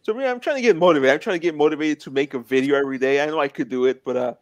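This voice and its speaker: A man's voice: on the high side, very fast, no echo. A man in his twenties, a guest in a casual interview, talking in an earnest, plainspoken way. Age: 20s.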